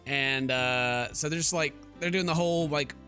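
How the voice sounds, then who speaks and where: A man gives casual, mocking commentary on a game he is playing. His voice is forceful and bright, pitched a little high, with faint music behind it. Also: normal speed. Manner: sarcastic, mocking asides. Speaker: gaming video host, let's player. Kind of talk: casual game commentary mixed with reading narration aloud.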